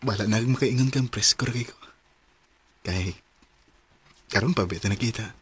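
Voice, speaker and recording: A man's voice: fairly low pitch, slight background noise, natural voice. A man with a Cebuano accent playing an earnest, shy young suitor who is smitten, in a radio romance drama. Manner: earnest, halting, courting.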